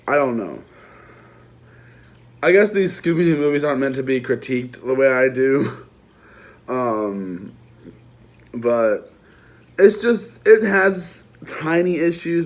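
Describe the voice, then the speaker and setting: Rambling man chatting a movie review. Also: medium pitch. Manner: rambling, lots of restarts and trailing asides; chatty, addresses viewers directly. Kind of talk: casual unscripted review.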